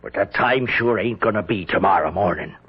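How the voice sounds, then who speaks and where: An actor playing a cautious country sheriff, husky, folksy and measured, in a radio drama. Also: male voice, low-pitched. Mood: dry, warning. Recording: dull 1970s radio drama recording.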